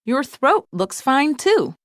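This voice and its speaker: A woman's voice: high voice, very animated, slow pace, no room sound, studio quality, pitched above the performer's own voice, exaggerated voice. A woman voicing a caring, confident young girl who doctors toys in a storybook, explaining things reassuringly.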